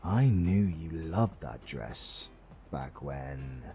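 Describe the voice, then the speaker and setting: Deep, creaky British supervillain played by a man. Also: animated, a little mellow, soft background music, heavily acted. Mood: fond, teasing. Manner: slow, teasing, theatrical. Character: suave, sardonic male supervillain, playfully flirtatious. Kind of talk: romantic comedy audio roleplay.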